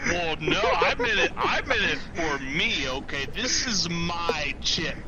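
A man's voice: a little high, huge pitch swings, slow, over a noisy crowd. A man in his early twenties bantering casually on a headset mic, joking and rambling as he repeats himself. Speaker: college student. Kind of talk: casual banter in a social VR game. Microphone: headset mic in VR voice chat. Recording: clearly noisy voice chat.

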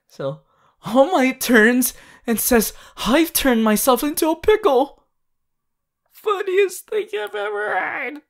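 A woman voicing a sweet, bashful, excitable teenage anime boy in an affectionate, halting ASMR roleplay addressed to the listener. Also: high voice, gravelly, heavily acted. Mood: excited, affectionate. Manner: halting, affectionate, with small hesitations.

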